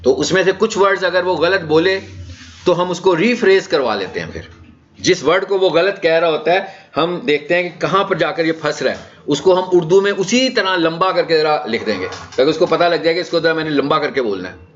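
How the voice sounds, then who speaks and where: A man's voice: on the high side, lively intonation, fairly quick. A man with a Pakistani accent giving an informal, conversational lecture as a trainer, explaining things and checking in with rhetorical questions. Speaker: digital marketing trainer. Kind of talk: informal lecture in an orientation session. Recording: dull, lo-fi session audio.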